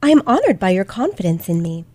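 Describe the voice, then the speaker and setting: A woman's voice: medium pitch, very animated, dark tone. A woman playing a poised teenage girl detective from the 1870s in a radio play, speaking formally and courteously. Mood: assured, courteous. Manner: formal, courteous, measured phrasing. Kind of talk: old-fashioned radio play acting.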